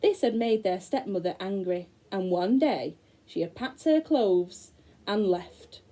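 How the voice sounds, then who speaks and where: A woman teacher reads a fairy tale to little children, her pitch rising and falling in a lively sing-song. Her voice is mellow and soft, and she lingers on words for dramatic effect. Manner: expressive, slow, dramatic storytelling with gasps. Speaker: primary school teacher. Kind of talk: storybook read-aloud for young children.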